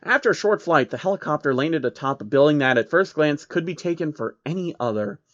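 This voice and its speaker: A man narrating a comedic fan story in a deadpan way, with wry asides. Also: on the high side, fairly quick, dry recording, dull audio.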